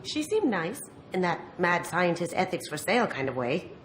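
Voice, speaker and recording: A woman's voice: fairly low pitch, sing-song, moderate pace, fairly bright tone, a little hiss or hum. A woman playing an irreverent squad mate in a video game, speaking in dry, sardonic quips. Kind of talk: video game character acting. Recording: music under the voice.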